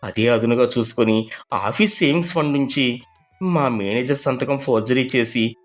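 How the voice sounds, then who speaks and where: An actor playing a stern, penny-pinching middle-class husband who talks down to his family in an animated moral story. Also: medium pitch, normal speed, soft background music, natural voice. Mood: ashamed, panicked. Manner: scolding, questioning. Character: stern, tight-fisted middle-class husband, bank clerk, belittling his wife.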